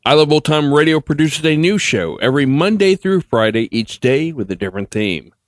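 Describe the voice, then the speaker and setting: Male podcast host for scripted intros, animated intonation, dry close sound. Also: fairly high pitch, fairly quick, no room sound.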